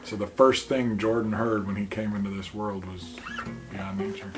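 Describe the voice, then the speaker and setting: A man's voice: on the low side, fairly even intonation, moderate pace, loud music behind the voice, rough recording. A man and father of four, telling a personal story in a casual, anecdotal way.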